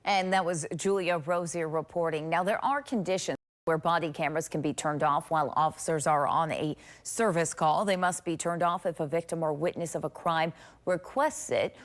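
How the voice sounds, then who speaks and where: A woman anchors a television newscast from a studio. Her voice sits a little low, and she delivers scripted news copy in a broadcast style. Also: fairly even intonation, moderate pace.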